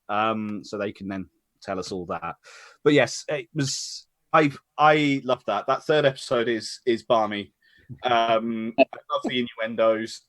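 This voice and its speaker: A man's voice: lowish voice, fairly bright tone, no echo. A man hosting an online event from home, speaking informally and unscripted over a video call. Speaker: host of online scratch night. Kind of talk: informal unscripted thanks and announcements.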